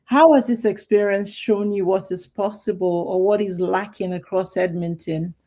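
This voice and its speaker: A woman's voice: mid-range voice, fairly even intonation, normal speed, mellow. A woman with a Nigerian accent hosting a live talk show and interviewing guests in a casual, chatty way with fillers and restarts.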